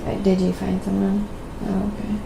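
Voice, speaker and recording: A soft-spoken woman chatting casually and unhurriedly on a livestream, narrating her ASMR painting and thanking viewers. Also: medium pitch, completely flat delivery, moderate pace, creaky, background noise. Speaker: live streamer, painting artist.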